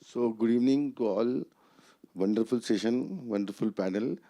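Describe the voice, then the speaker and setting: Formal audience member with a mellow tone. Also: male voice, medium pitch. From India. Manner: formal, halting. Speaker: audience member asking a question.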